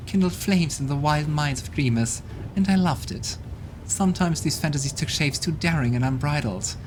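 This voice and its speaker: A man's voice: fairly high pitch, background noise, close to an everyday voice. A man voicing an arrogant, haughty female demon who talks with contempt, over game music.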